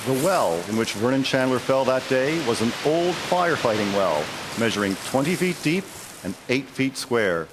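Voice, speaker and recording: A man's voice: mid-range voice, very animated, moderate pace, noisy background. A man reading scripted narration as the storyteller of an audio drama. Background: music.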